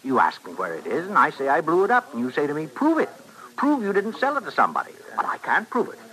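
An actor playing a smooth-talking, urgent professor and inventor in a radio western, articulate and theatrical. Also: male voice, fairly high pitch, huge pitch swings, quick pace, pushed and bright, heavily acted, rough recording. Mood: persuasive. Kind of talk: 1950s-60s radio western acting.

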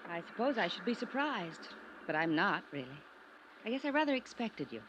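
A woman plays an alluring, melancholy femme fatale in an old radio play. She speaks softly and wistfully, holding something back. The vintage recording is muffled with faint noise. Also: mid-range voice, sing-song, brisk, natural voice. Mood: wistful, guarded. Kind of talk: 1950s radio drama acting.

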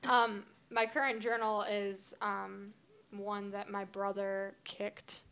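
A woman's voice: medium pitch, flat delivery, takes it slowly, a bit bright, smooth. A woman in her twenties, a podcast guest, introducing herself in casual, rambling chat with lots of ums. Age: 20s. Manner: chatty, self-deprecating, many ums.

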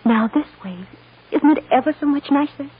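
A woman's voice: sits high, normal speed, close to an everyday voice. An actress playing a loving, reassuring American mother soothing her young daughter in an old-time radio drama. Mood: gently explaining. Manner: tender, reassuring, emotionally earnest. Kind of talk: 1940s-50s American radio drama acting. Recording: dull, noisy old radio recording.

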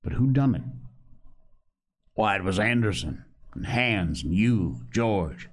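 A man voicing a cunning, commanding older male pirate captain with rough sailor talk and scornful manner. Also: on the low side, lively intonation, takes it slowly. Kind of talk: audiobook character reading.

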